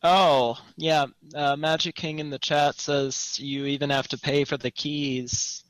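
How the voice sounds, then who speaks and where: A young man co-hosting a podcast in casual discussion, opinionated and thinking aloud in a halting way. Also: fairly high pitch, little pitch movement, a bit bright, dry recording, poor audio. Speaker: podcast co-host. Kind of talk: casual chat, gaming discussion.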